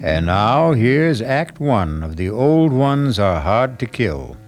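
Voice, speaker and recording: A man hosting an old-time radio mystery, narrating in a deliberate, theatrical scripted style. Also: medium pitch, sing-song, over music. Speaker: radio drama host and announcer.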